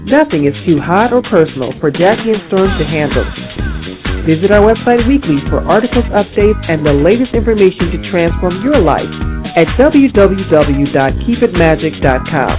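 A woman's voice: on the low side, expressive, normal speed. A woman announcer reading a scripted radio show promo. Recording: tinny, band-limited radio intro. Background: music bed.

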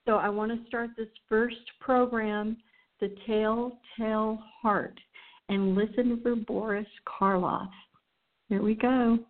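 A woman's voice: a little high, level tone, slow pace, slight background noise. A woman hosting a show in a casual, enthusiastic chatting style. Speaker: show host. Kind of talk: casual chat introducing old radio programs. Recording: tinny, phone-like band-limited sound.

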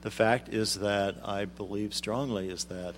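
A middle-aged man, a guest on a radio interview, explaining things in a measured way with frequent ums. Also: on the low side, fairly even intonation, slight background noise. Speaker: guest, arts executive director.

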